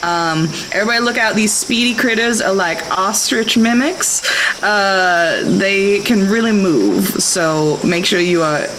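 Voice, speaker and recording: A woman's voice: mid-range voice, unhurried, background noise, lightly acted. A woman playing a flustered, chatty tour guide at a dinosaur park in a tabletop game, hesitant and apologetic. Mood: upbeat guiding.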